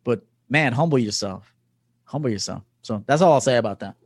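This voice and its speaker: Male, early forties, interview guest. Conversational coach talk, emphatic delivery, mid-pitched, dry recording. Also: animated, fairly quick, no room sound. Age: early 40s. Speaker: podcast guest, men's coach.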